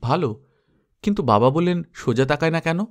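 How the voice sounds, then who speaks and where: A man's voice: a little high, expressive, brisk, close to an everyday voice. A man voicing an earnest, curious schoolboy who asks questions in a storybook. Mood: earnest, puzzled.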